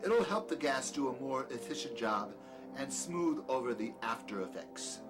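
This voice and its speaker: A man's voice: mid-range voice, animated, normal speed, natural voice. A man playing a peculiar, condescending doctor with a dry, sardonic manner in an audio drama, secretly sinister. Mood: dryly sardonic. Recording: music behind the voice.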